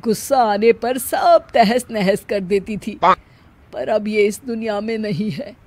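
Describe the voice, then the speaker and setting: A woman's voice: mid-range voice, a little slow, darkish tone, close to an everyday voice. A woman voicing a mild, elderly widowed father-in-law in a cartoon story, speaking in a fatherly way. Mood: gentle, wistful. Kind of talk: Hindi cartoon story character acting.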